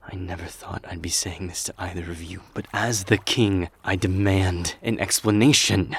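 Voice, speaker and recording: A man's voice: low-pitched, steady tone, normal speed, slight background noise. A man playing an earnest, loyal warrior king in a dramatic audio roleplay, solemn and heartfelt. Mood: hurt, demanding.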